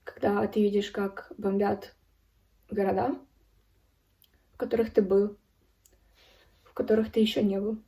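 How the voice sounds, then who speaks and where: A young woman in her early twenties from Ukraine speaks with a very mellow, dark tone at a middling pitch. She tells a personal account solemnly, in careful phrases broken by pauses. The microphone sounds some distance away. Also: level tone. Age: early 20s. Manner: serious, solemn. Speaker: video blogger. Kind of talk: personal account spoken to camera.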